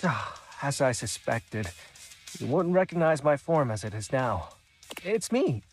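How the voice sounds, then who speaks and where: A man's voice: mid-range voice, animated. Guy doing a dorky friendly shadow critter, bouncy intonation, awkward, voice drama, light music behind. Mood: sheepish. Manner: sheepish, halting, earnest. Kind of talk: character voice acting audition reel.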